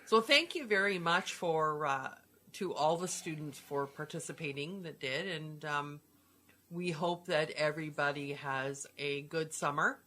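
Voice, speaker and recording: Low-pitched, bright-voiced woman hosting. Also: unhurried. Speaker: host, drama school instructor, co-producer. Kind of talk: casual unscripted sign-off chat.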